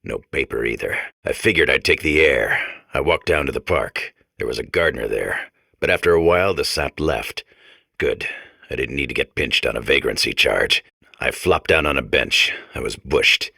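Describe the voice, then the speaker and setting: Game casting: boring noir gumshoe robot, extremely low, gravel-throated, deadpan. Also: male voice, normal speed, dry recording, clean studio sound, full character voice. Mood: deadpan, world-weary. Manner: deadpan clipped noir narration. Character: boring hard-boiled detective robot core, world-weary, dull. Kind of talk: parody of 1940s noir detective narration.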